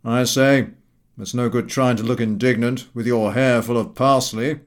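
A man reading an audiobook, voicing a boisterous, mocking gentleman with a hearty, blustery manner. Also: mid-range voice, fairly even intonation, moderate pace, close to an everyday voice.

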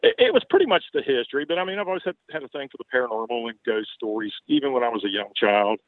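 A man's voice: medium pitch, sing-song, rapid-fire, dry recording. An older man from Baltimore with a light Southern accent, owner of a ghost tour business, talking in a folksy, rambling way with frequent chuckles. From Baltimore, Maryland. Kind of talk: podcast interview over a call. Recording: tinny phone-like line.

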